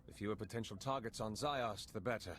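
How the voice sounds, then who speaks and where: A man's voice: fairly low pitch, a little fast, natural voice. Man as an earnest male intelligence operative. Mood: urgent. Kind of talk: video game dialogue acting. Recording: background noise and game ambience.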